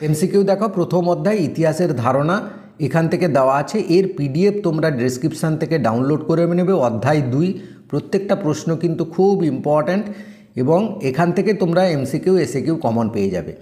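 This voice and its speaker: Fast-talking man with an Indian Bengali accent. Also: on the high side, lively intonation, dark tone. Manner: instructive, repetitive emphasis, English exam terms mixed in. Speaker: teacher giving exam tips. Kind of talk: informal exam-preparation tutorial. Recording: echoey room.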